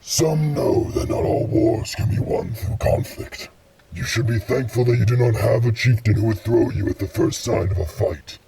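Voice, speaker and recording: An actor playing a proud, pious alien brute chieftain with a formal, pompous delivery in a sci-fi audio drama. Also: male voice, medium pitch, moderate pace, creaky, crowd noise, natural voice. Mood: solemn, measured.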